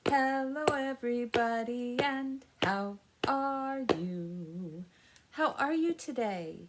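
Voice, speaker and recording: A woman hosting story time for young children, reading aloud with expressive emphasis and explaining things simply with questions to the listeners. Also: on the high side, slow, background noise.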